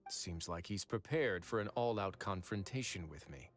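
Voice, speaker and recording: A man voicing a composed, calculating male warden in a game who speaks in a measured, reflective way. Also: deep voice, animated. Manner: measured, reflective, explains reasoning at length. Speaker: game character. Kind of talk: game voice acting. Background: soft game music.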